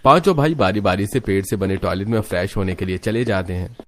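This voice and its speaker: कहानी सुनाता गहरी आवाज़ वाला पुरुष. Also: male voice, deep voice, fast, fairly bright tone. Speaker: narrator. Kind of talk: scripted cartoon story narration.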